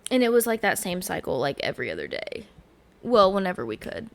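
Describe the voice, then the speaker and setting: A young woman with a light Southern US accent co-hosts a casual podcast, talking frankly and giggling between stories. Her voice has a gravelly, fry-tinged edge at the ends of phrases. She leans on "like" as she talks. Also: mid-range voice, very animated. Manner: candid, giggly, drawn-out filler words. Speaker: podcast co-host. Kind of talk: casual chat.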